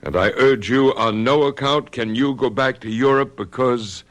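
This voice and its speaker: An actor playing a calm, inquisitive doctor in a radio drama, speaking in a measured, reassuring way. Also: male voice, mid-range voice, lightly acted. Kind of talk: 1970s radio drama acting.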